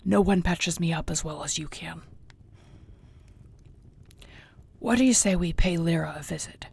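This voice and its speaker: Husky, low-voiced gentle orc girlfriend played by a woman. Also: heavily acted. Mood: fond, playful. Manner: soft, tender, unhurried, often near a whisper. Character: affectionate orc girlfriend, seasoned warrior, gentle and content. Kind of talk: intimate audio roleplay, spoken to the listener.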